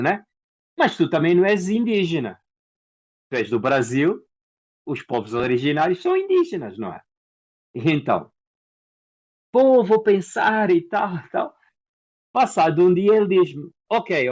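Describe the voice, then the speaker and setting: A man from Angola with an Angolan Portuguese accent, an actor and playwright answering interview questions in a lively storytelling way. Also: on the high side, lo-fi sound. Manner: animated storyteller, acts out quoted dialogue.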